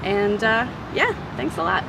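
A woman's voice: medium pitch, sing-song, measured pace, creaky, background noise. A woman in her thirties presenting to camera and interviewing casually outdoors as a host. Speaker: host interviewer at an archaeological dig. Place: outdoors on a grassy farm.

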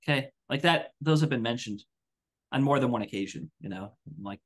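A man's voice: medium pitch, a little fast. A man telling casual anecdotes as a podcast co-host, acting out the quoted dialogue. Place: indoor room on a video call.

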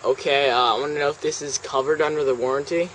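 A teenage male making a deadpan prank phone call in a halting, straight-faced way, recorded outdoors at a table. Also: fairly high pitch. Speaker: prank caller. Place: outdoors on a house's back deck. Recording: noisy outdoor camera audio, insects, traffic.

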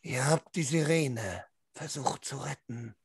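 A young man narrating a tabletop role-playing game as storyteller, with a theatrical, drawn-out, intense delivery into a close microphone. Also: medium pitch, creaky, slightly rough. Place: small room at home, online video call.

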